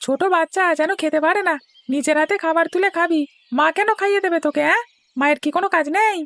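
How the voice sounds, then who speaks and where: A woman's voice: very high-pitched, fast, fairly bright tone, dry recording. A woman voicing a bickering, jealous young boy, the older brother in a cartoon story. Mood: scornful.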